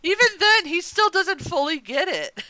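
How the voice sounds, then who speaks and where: A woman's voice: very high-pitched, sing-song, normal speed, pushed and bright, no room sound. A woman co-hosting a casual review chat about an audio drama in a gushing, enthusiastic manner.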